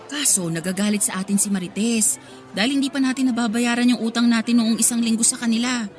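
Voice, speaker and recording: A woman's voice: a little high, fairly even intonation, fast talker, darkish tone, close to an everyday voice. A woman plays a hard-working market vendor and mother in a Tagalog radio drama. She pleads and scolds in an exasperated, motherly way, with faint music underneath. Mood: pleading.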